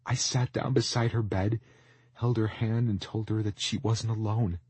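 Man voicing a fiery, emotional young woman, pitched up. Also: normal speed, breathy edge, no echo. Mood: soft, hesitant. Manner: emotional, expressive. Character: fiery, flustered, wounded young woman in love. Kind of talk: audiobook character acting.

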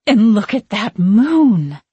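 A woman's voice: medium pitch, sing-song, slow pace, mellow, slightly rough, dry recording, full character voice. A woman playing a haughty, imperious villainous witch in an audiobook, with a piercing voice and grand, scornful archaic diction. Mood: awed, grand. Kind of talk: audiobook character acting.

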